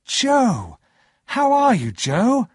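Guy doing an eager young storybook gent, bit snobby, high husky voice, exclaims a lot. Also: huge pitch swings, very slow, mellow, no echo, clean studio sound, full character voice. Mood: excited greeting. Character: eager, snobbish young gentleman, easily irritated.

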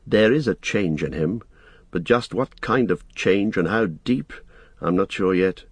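A man voicing a gentle, earnest, courteous hobbit in an audiobook, speaking in a kindly, measured and polite way. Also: deep voice, husky, no room sound, full character voice. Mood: thoughtful. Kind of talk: audiobook character acting.

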